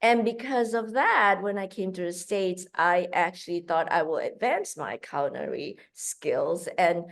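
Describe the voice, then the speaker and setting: A woman from Malaysia speaking in an interview as a guest. Her talk is animated and full of fillers and restarts. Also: lowish voice, measured pace, creaky. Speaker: guest, founder of a kids' cooking program.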